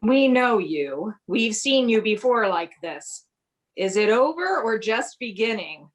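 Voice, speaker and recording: An actress playing a poised, wry friend who also narrates in a radio play. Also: female voice, fairly high pitch, very animated, slow pace. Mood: knowing.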